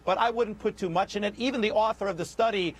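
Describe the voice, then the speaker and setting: A man's voice: sits high, fast talker, husky. An American man speaking as an economist guest, giving analytical, matter-of-fact news commentary.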